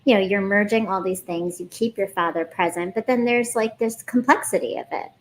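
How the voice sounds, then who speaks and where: A woman's voice: mid-range voice, a little fast, a little hiss or hum, low-quality recording. A woman hosting an interview, affirming her guest and asking thoughtful follow-up questions. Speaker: podcast host.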